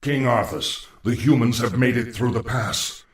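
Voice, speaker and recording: A man's voice: medium pitch, measured pace, lightly acted. A man voicing an undead lich advisor in a fantasy game, grave, formal and sinister, with an echoing, distant sound. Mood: grave, deferential. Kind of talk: fantasy game dramatic acting.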